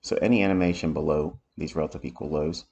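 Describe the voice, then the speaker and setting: Very deep-voiced man, raspy today. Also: fairly even intonation, darkish tone. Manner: unhurried, thinking aloud while explaining charts. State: raspy from allergies. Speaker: trading educator. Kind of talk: live market commentary stream. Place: home office.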